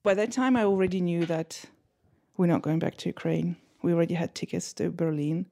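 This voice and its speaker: Low, gravelly woman recalling things candidly. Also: fairly even intonation, brisk, dark tone. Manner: candid, slightly non-native phrasing. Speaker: interviewee. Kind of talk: interview recollection.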